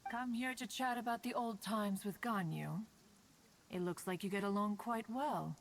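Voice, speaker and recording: A woman's voice: medium pitch, moderate pace, close to an everyday voice. A woman voicing an ancient, dignified, motherly female immortal in a video game, with stately, archaic formal speech. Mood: composed, coaxing. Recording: soft game music behind the voice.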